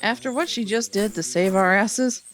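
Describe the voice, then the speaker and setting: A woman's voice: medium pitch, moderate pace, faint music behind, natural voice. A woman playing a dry-humoured soldier in an audio drama, casual and bantering with her squad. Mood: playful.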